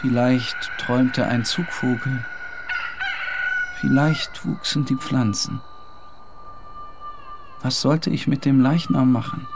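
A man playing a disturbed, morbid painter who narrates in a brooding, deliberate literary monologue. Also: mid-range voice, steady tone, background noise. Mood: haunted, brooding.

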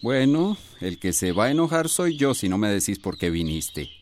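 Casting: rural godfather, low and expressive, gruff yet kind, radio drama. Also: normal speed, slight background noise. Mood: gruff, curious. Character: gruff but kindly village godfather. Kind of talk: radio soap opera acting.

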